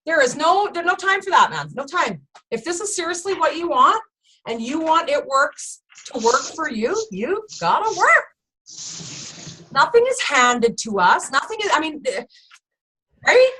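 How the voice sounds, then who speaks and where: A woman leading her sales team in a casual motivational chat, emphatic and animated, often turning to the listeners directly. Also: high-pitched, unhurried, slight background noise, poor audio. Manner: emphatic, animated, keeps addressing listeners as "you guys".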